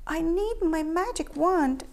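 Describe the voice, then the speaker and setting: A woman's voice: extremely high pitch, animated, slow, a little mellow, pitched above the performer's own voice, heavily acted. A woman voicing a shy, flustered boy cat in a storybook, stammering and squealing as he bluffs. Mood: nervous, stammering.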